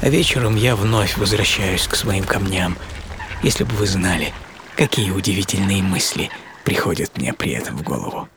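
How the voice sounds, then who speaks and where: A man playing a middle-aged, introspective psychiatrist in a dramatised first-person radio monologue, theatrical and reflective, with deliberate pauses. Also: sits low, noisy background, natural voice. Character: middle-aged male Swiss psychiatrist recalling a troubling dream, introspective. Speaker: author and presenter of a radio programme. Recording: radio production over loud music.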